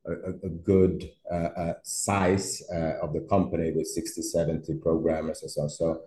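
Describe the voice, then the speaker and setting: A man's voice: very deep voice, little pitch movement, moderate pace, warm tone, roomy sound, slight background noise. A Swedish man, a startup CEO, answering interview questions with many fillers, restarts and easy laughs. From Sweden. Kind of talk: podcast interview conversation.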